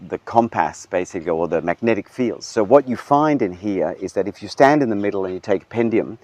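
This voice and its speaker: A middle-aged man from Denmark, a hotel general manager, speaking thoughtfully in a relaxed interview, with frequent ums and restarts. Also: lowish voice, brisk, no room sound. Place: hotel grounds.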